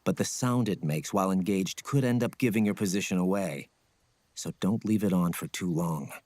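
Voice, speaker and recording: Solemn ninja guy for a game, low voice, really dry close sound, measured and instructive. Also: darkish tone, no echo. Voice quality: low, husky. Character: solemn, stoic male ninja scout mentor. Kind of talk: dramatic video game acting.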